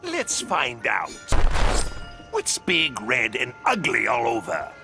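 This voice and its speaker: A man's voice: mid-range voice, wildly swooping pitch, slow, vocal fry, exaggerated voice. A man playing a mocking, theatrical clown villain in a video game, taunting his opponent. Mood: gleefully mocking. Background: music.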